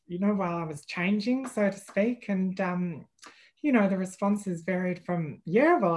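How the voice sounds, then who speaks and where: Thoughtful Australian woman, lowish voice. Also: darkish tone. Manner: thoughtful, hesitant, many fillers and restarts. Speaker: guest, employment lawyer. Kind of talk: podcast interview.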